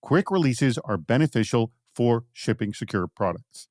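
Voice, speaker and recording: A man's voice: medium pitch, expressive, normal speed, darkish tone, dry recording, clean studio sound. A man hosting a panel podcast, reading out prompts and putting questions to guests. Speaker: podcast host.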